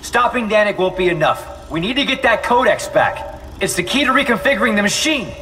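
A resolute sci-fi game survivor. His voice is high for a man and swings in pitch, heard from a distance in long reverb. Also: big pitch swings, a little fast. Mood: urgent. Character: determined male sci-fi survivor hero. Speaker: video game voice actor. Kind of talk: dramatic game cutscene acting. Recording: distant, reverberant, over loud noise.

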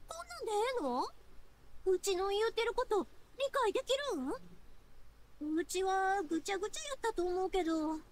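An earnest young woman from an anime game, speaking with a Kansai accent. The voice is high and very expressive, with folksy, heartfelt delivery. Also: moderate pace, slight background noise. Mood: puzzled. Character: bright, earnest young woman fireworks maker. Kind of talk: Japanese anime game voice acting.

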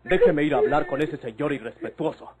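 Man acting a resolute Mexican countryman, highish voice. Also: animated, fairly quick, a crowd in the background, lightly acted. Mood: resolute, indignant. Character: resolute, indignant young countryman, protective son. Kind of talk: golden-age Mexican radio drama acting. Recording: old radio drama, background voices.

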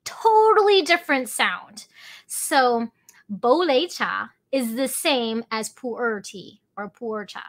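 A woman's voice: on the high side, slow. A woman in her thirties who grew up in Hong Kong and Alaska, hosting an enthusiastic educational podcast from a home kitchen, gesturing as she talks. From Hong Kong, raised in Alaska. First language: Cantonese. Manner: animated, gestures with both hands while talking. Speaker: tea company owner and podcast host. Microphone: fixed camera at mid distance.

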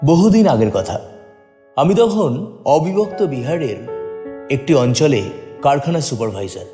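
A man's voice: on the high side, very animated, unhurried, warm tone. A man reading a scripted first-person story as a dramatic narrator. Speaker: story reader. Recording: long reverb, faint music behind.

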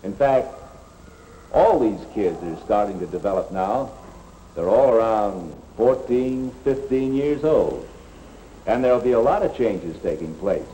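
A man's voice: on the low side, lively intonation, measured pace, mellow. A man playing a patient, plain-spoken middle-aged school coach in a 1950s educational film, explaining things earnestly. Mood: reflective narration. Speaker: coach and narrator. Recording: echoey, noisy 1950s film soundtrack.

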